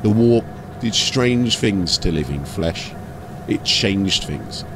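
A man's voice: sits low, lively intonation, takes it slowly, background noise. A man giving a scripted, dramatic narration as an audiobook storyteller.

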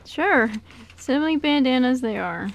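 A woman's voice: sits high, expressive, unhurried, creaky, a little hiss or hum, full character voice. A woman voicing a puzzled, easygoing young boy in an amateur radio skit, casual and questioning.

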